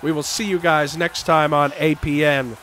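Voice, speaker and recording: A man losing his voice, shouting excited play-by-play for a wrestling video game. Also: a little high, normal speed. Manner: shouting excitedly over the action. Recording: game crowd noise behind voice. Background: video game arena crowd and sound effects.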